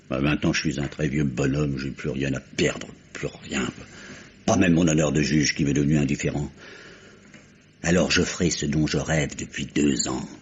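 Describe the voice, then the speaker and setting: An actor playing a bitter, grieving old judge bent on revenge, speaking emphatically and haltingly in an old radio mystery style. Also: male voice, extremely low pitch, slightly rough, full character voice. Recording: muffled old radio drama recording.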